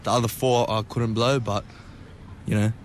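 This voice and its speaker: Man, late teens, flat intonation, frank, casual, clear street noise. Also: on the low side, moderate pace. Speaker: vox pop respondent. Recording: noisy outdoor urban recording.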